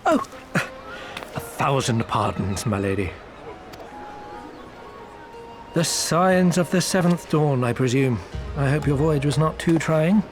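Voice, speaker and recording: An actor playing a theatrical, slyly obliging merchant in a video game, with florid, overly courteous, roundabout speech. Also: male voice, fairly high pitch, animated, unhurried. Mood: courteous, welcoming. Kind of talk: dramatic video game voice acting. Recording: music behind the voice.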